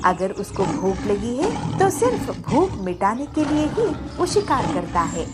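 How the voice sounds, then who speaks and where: An affectionate mother, voiced by a woman, gently reassuring and coaxing. Her fairly high voice moves in lively storybook intonation over background music. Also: a little slow. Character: affectionate, reassuring young mother of small girl.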